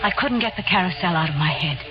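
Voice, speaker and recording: A woman's voice: lowish voice, lively intonation, fast talker, over loud music, close to an everyday voice. An actress playing a young woman who owns a carnival carousel, narrating and speaking in 1940s radio drama style. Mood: wistful. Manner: weary first-person narration. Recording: muffled 1940s radio broadcast.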